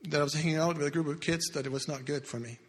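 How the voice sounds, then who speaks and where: A man in his sixties, not a native English speaker, telling his life story earnestly as a testimony in church, with halting restarts. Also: a little high, fairly even intonation. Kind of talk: personal testimony talk. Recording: echoey hall with long reverb.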